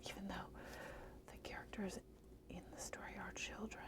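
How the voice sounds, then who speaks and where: A woman whispering a soft ASMR book review, slightly hoarse and hesitant, with many fillers. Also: mid-range voice, little pitch movement, normal speed.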